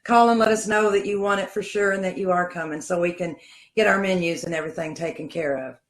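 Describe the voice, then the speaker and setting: A woman's voice: fairly low pitch, fairly even intonation, quick pace. Echoey Kentucky woman on a video call. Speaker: theater troupe member. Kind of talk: casual chat.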